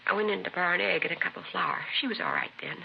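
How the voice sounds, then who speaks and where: Courteous boarding-house landlady in radio crime drama: heavy creak, hesitant delivery, dull vintage sound. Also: fairly low pitch, huge pitch swings, slight background noise, full character voice. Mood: polite, hesitant. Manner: hesitant, recalling slowly. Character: polite, chatty female boarding-house landlady.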